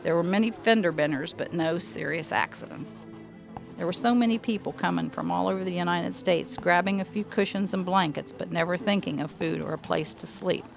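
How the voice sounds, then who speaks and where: Woman playing rural eyewitness narrator, low voice, gravelly creak, reflective storytelling, faint music behind. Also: brisk. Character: woman recalling rural crash aftermath as eyewitness. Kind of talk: scripted first-person narration.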